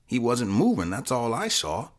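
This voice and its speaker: A man's voice: medium pitch, huge pitch swings, normal speed. A man voicing a defiant, streetwise fifteen-year-old boy who talks in insistent slang in an audio crime drama. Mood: defensive.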